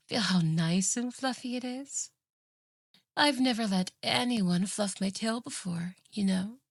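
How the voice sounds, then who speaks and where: A woman's voice: medium pitch, dry recording, clean studio sound. A woman voicing a clingy, boastful, obsessive fox girl in an ASMR roleplay, coaxing and doting in a theatrical way.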